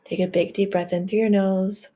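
A woman leading a guided healing meditation, speaking in a gentle, reassuring and affirming way. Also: medium pitch, little pitch movement, moderate pace.

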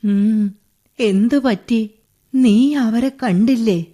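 An actress playing an older, knowing woman in a radio drama, deliberate and probing. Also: fairly high pitch, warm tone.

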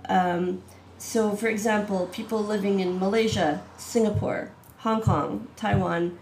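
A woman's voice: mid-range voice, steady tone, measured pace, reverberant, slight background noise, poor audio. A woman hosting a casual video-call interview, chatty with lots of "um"s and laughs. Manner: chatty, warm laughs, many "um"s. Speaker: host, artistic director.

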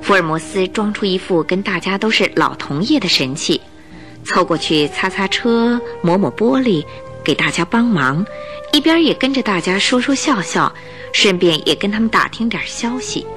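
A woman narrating a radio drama in Chinese, in an expressive, scripted storytelling style. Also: mid-range voice, moderate pace, faint music behind.

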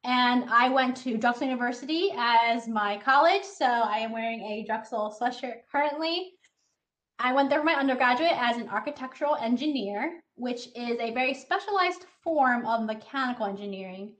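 A woman's voice: fairly high pitch, moderate pace, fairly bright tone. A woman in her thirties, a scientist, giving a friendly, explanatory online presentation to students over a video call. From Taiwan, raised in the United States. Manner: friendly, explanatory, with filler uhs. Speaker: scientist giving a talk to kids.

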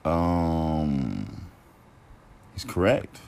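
A man with a very deep, bass-heavy voice, co-hosting a podcast in casual chat. His delivery is laid-back and playful. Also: expressive, extremely slow, creaky, darkish tone. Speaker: podcast co-host.

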